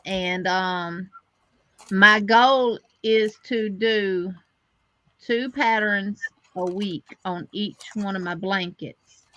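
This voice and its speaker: A woman's voice: medium pitch, steady tone, drawn-out words, pushed and bright, no echo. A woman co-hosting a live crochet show, talking in a chatty, plainspoken way. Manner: chatty, plainspoken, full of fillers. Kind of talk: casual chat on a livestream.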